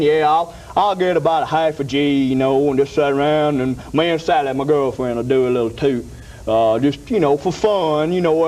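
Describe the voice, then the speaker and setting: Man, fairly high pitch, rambling comic testimonial, folksy, repetitive, emphatic. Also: darkish tone.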